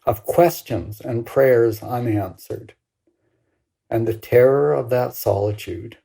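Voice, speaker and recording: An older woman over sixty reads a personal story expressively, with deliberate, dramatic phrasing and pauses. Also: a bass voice, creaky, warm tone. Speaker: writer reading own work.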